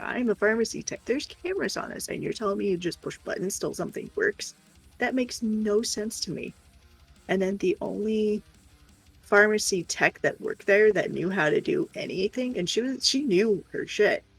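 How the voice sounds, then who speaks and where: A woman's voice: medium pitch, lively intonation, moderate pace, gravelly, husky, dry recording, low-quality recording. A woman streamer chatting casually and telling stories in a frank, exasperated, sweary way. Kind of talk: casual storytelling chat. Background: faint music.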